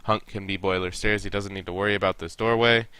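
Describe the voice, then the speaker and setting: A man's voice: deep voice, steady tone, fast talker, pushed and bright, smooth, dry recording. A young man with a stuffy nose, casually reviewing a team's game and coaching the players directly. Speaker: esports coach reviewing a match.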